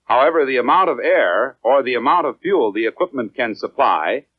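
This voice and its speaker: An American man reads scripted 1950s educational film narration in a measured, clearly enunciated, instructional manner. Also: medium pitch, expressive, no echo. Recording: dry, dull lo-fi 1950s film soundtrack.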